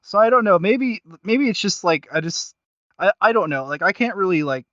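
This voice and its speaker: A man with a slightly high voice chats casually as a podcast host. He gropes for his point in a halting, self-deprecating ramble. The sound is very dry and a little dull. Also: lively intonation, fairly bright tone, dry recording. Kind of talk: casual review chat.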